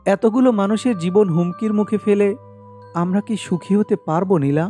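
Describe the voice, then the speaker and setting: A man's voice: sits high, brisk, warm tone, soft background music, natural voice. A man voicing earnest, troubled young village friends in sentimental cartoon dialogue. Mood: anguished.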